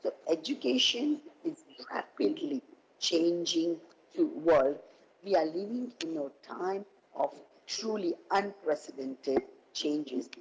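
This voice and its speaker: A woman with a husky voice, hoarse from a cold, giving a halting academic keynote over an online call. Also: lowish voice, animated, low-quality recording. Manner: halting, with many fillers and restarts. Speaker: keynote speaker.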